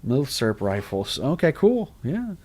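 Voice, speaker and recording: Man, casual livestream chat, rambling, animated, restarts a lot, mid-pitched voice. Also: unhurried. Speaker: horror story narrator and streamer.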